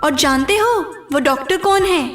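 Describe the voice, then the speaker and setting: A woman's voice: high-pitched, normal speed, fairly bright tone, roomy sound, faint music behind. A woman voicing a sweet, curious, teasing young princess in a cartoon, with a sing-song way of asking. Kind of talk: Hindi cartoon story dubbing.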